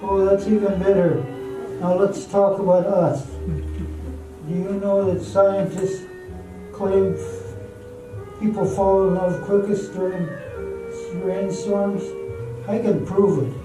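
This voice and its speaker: A man's voice: sits high, fairly even intonation, takes it slowly, long pauses. A man acting as an eager, nervous suitor in an amateur radio drama, earnest and hesitant. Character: eager, nervous young suitor courting a woman. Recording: very echoey hall from far off, music.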